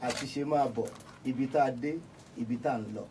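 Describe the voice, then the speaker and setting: A man's voice: medium pitch, fast talker, dark tone. A man with a Nigerian Yoruba accent speaking as a pundit guest in a live radio discussion. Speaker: radio guest, reverend and public analyst. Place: radio studio. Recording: distant microphone with clear background noise.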